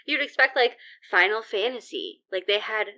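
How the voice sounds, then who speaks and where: A woman's voice: on the high side, normal speed, bright, forceful tone. A young adult woman co-hosting a podcast, rambling casually with lots of filler words and some swearing. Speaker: podcast co-host. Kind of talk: casual chat. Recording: slightly dull lo-fi audio.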